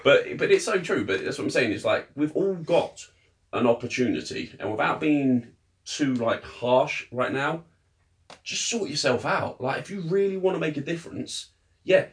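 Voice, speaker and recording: A man's voice: fairly high pitch, expressive, normal speed. A man co-hosting a podcast in casual chat, giving emphatic, blunt advice. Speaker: podcast co-host.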